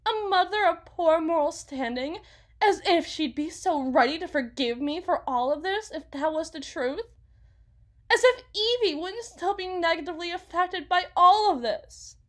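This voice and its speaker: A woman playing an anguished, guilt-ridden mother who confides haltingly and dramatically in an ASMR roleplay monologue. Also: extremely high pitch, lively intonation. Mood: indignant, hurt.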